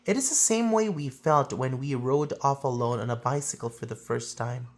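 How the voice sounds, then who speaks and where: A woman reading a children's picture book aloud as a storyteller, with theatrical phrasing and drawn-out emphasis. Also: extremely low pitch, darkish tone.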